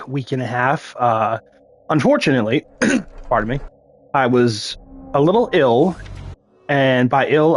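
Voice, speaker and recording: A man's voice: medium pitch, no echo. A man, a gaming YouTuber, giving casual gameplay commentary in a chatty, upbeat way, his voice still slightly scratchy from being ill. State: slightly scratchy voice after illness.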